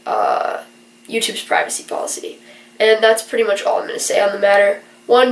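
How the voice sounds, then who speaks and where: A woman's voice: medium pitch, recorded from a distance. A stuffy-nosed young woman, sick with a virus, earnestly explaining things in a rambling casual vlog, with plenty of fillers. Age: young adult. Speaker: vlogger and college student. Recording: roomy echo, from away from the mic.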